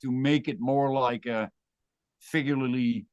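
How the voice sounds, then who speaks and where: Dutch-accented male guest, casual and reflective, mid pitch, dry recording. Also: fairly even intonation, normal speed, darkish tone, no room sound, lo-fi sound. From Netherlands. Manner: thoughtful, rambling with restarts. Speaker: guest musician. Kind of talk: casual interview chat.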